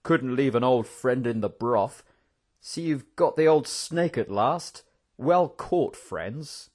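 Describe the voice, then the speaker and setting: Reader putting on a laconic warrior, dry clipped lines, lower and calm. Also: slow. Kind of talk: audiobook character voice.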